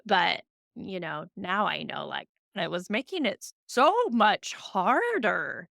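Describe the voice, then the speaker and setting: A woman's voice: lowish voice, very animated, takes it slowly, creaky, dry recording, clean studio sound. A woman in her forties hosting a podcast, chatting casually and empathetically with her guest. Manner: warm, empathetic, chatty with many "like"s. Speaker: podcast host. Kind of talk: casual interview chat.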